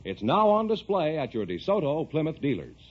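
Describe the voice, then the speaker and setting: A man reading a 1950s radio advertisement as a commercial announcer, with an emphatic sales pitch. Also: huge pitch swings, a little fast, over music. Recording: muffled vintage radio recording.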